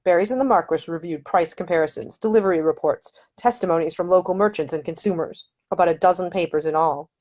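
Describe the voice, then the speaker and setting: Woman, lower-pitched voice, audiobook narration, historical fiction, author reading. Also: fast talker, hardly any pauses, darkish tone. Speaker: author reading own novel.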